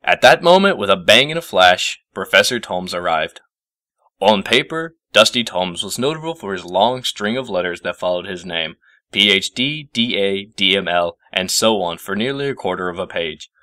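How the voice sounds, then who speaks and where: A man reads a story aloud with expressive delivery. His voice is bright and moves widely in pitch. The sound is dry and close, with no room on it. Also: moderate pace, no echo. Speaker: fan fiction reader. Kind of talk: scripted story narration.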